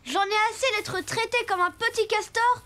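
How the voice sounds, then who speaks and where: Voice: extremely high pitch, fairly even intonation, moderate pace, bright, forceful tone. An actor voicing a stubborn, boastful little boy beaver in a children's cartoon, childish and defiant in manner. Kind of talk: animated children's cartoon acting. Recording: music behind the voice.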